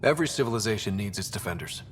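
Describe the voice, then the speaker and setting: A man's voice: animated, rapid-fire, lightly acted. An actor playing an earnest, curious young male leader of explorers in a video game. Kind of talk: video game character dialogue. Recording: long reverb, faint rumbling background noise.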